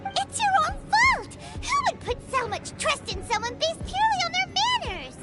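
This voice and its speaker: Feisty childlike fairy sidekick, piercingly high, excitable, video game, music behind. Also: female voice, normal speed. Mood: defiant, gleeful. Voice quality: squeaky, childlike. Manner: excitable, speaks of self in third person. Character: tiny childlike fairy companion, feisty and bossy.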